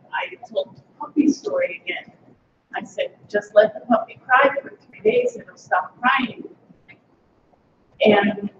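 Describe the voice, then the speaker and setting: A man in the audience telling a personal anecdote in a chatty, sing-song way. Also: very high-pitched. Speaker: audience member. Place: temple class room. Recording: distant, echoey, muffled, noisy room.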